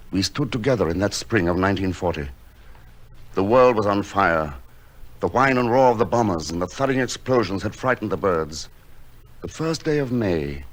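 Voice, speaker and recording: A man playing a gentle, lonely hunchbacked painter, earnest and wistful, in the style of old-time radio drama. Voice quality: deep, gentle. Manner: earnest, wistful literary delivery. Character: gentle lonely hunchbacked painter, a melancholy recluse who loves birds. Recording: dramatic music behind the voice.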